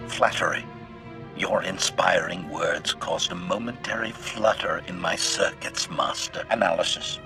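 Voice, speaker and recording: An actor voicing a cold, bloodthirsty but loyal assassin droid in a video game, speaking formally and deadpan through a robotic filter. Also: male voice, deep voice, measured pace. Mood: coldly pleased. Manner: formal, clipped, announces each statement with a label. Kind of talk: scripted video game acting. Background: background music.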